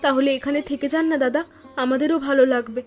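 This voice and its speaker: A woman voicing a caring, sisterly wife in an animated story, earnest, coaxing and insistent. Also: high voice, quick pace, soft background music, close to an everyday voice. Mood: insistent, pleading. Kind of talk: Bengali animated story dialogue.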